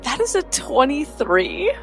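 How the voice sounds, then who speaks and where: A woman's voice: high voice, wildly swooping pitch, a little slow, vocal fry, over music. A woman playing a tabletop game, improvising narration of what her character does. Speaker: tabletop roleplay player.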